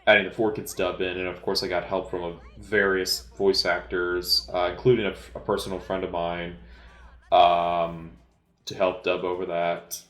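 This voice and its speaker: A man giving casual, unscripted movie commentary as an amateur, speaking hesitantly with halting, trailing pauses. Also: sits low, little pitch movement, a bit bright, echoey room.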